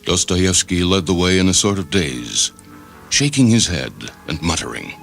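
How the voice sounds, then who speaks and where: Deep guy narrating a short story, literary read, bit of music underneath. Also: lively intonation, moderate pace, creaky, a bit bright. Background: faint background music.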